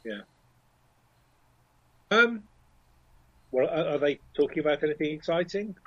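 A man's voice: a little high, animated, a little slow, dull audio. A man playing a tabletop game, hesitant and stumbling over his restarts. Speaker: player. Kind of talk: casual tabletop play.

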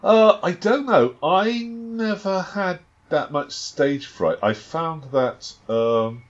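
A man's voice: fairly high pitch, slow pace. A man running a tabletop game who talks casually, with many restarts and fillers and dry, self-deprecating humour. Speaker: game master and podcast co-host. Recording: slightly dull lo-fi home recording.

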